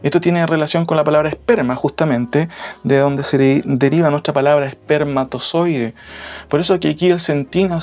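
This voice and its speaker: A man's voice: on the high side, darkish tone. A man giving expository Bible teaching in a didactic way, repeating key phrases and often adding short tag questions. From Chile.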